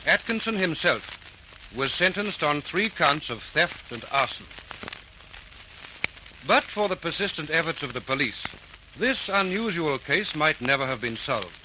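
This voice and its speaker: A man's voice: a little high, very animated, a little slow. A man reading formal, earnest narration for an old radio crime drama. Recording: old radio recording with hiss.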